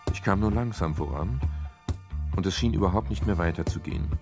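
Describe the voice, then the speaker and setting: An actor playing a pensive, matter-of-fact private detective in a German radio crime drama, speaking in a measured, sober way. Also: male voice, deep voice. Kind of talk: scripted first-person radio crime narration.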